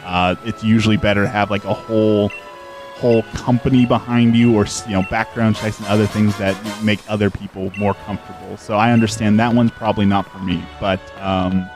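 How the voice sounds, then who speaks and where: Earnest, rambling middle-aged man, low voice. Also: fairly even intonation, a little fast, a little mellow, faint music behind. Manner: rambling and earnest, many fillers and restarts; outgoing and extroverted. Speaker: streamer. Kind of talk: casual live-stream chat answering viewers while gaming. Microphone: desk microphone.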